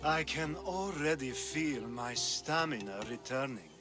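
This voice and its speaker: An actor playing a carefree, flamboyant young reveller in a video game, loose and theatrical. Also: male voice, medium pitch, expressive, a little slow, music in the background, lightly acted. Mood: relieved. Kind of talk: video game dramatic acting.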